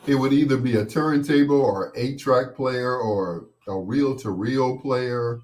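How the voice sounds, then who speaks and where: Slow-talking man telling casual, playful stories. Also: mid-range voice, a little mellow. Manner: playful, acts out quoted voices. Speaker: host of a personal storytelling show. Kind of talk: casual rambling personal storytelling.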